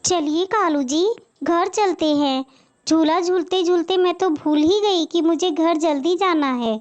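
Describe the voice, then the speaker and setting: Casting: woman as dutiful young bird daughter-in-law, moral-story cartoon, high girlish pitch, slightly dull recording. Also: unhurried. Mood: happy. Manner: polite, pleading, eager to please.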